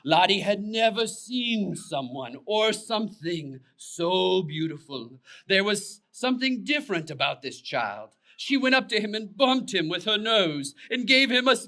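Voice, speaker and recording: High, very bright male storyteller. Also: very animated, a little slow, few pauses. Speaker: puppet show narrator. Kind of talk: scripted children's storytelling.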